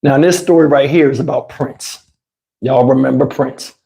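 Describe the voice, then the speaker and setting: A man telling personal stories in a casual, animated, slangy way, swearing freely and acting out what people said. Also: mid-range voice, normal speed, vocal fry, rough recording. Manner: acts out dialogue and sound effects. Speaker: storyteller host. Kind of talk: animated casual personal storytelling with acted quotes.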